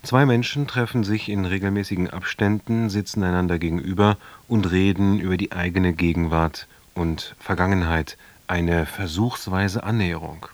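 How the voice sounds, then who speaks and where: A man with a low-pitched voice delivers a scripted radio introduction. The sound is close and very dry, with no room around it. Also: fairly even intonation, normal speed, few pauses, dry recording. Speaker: radio announcer.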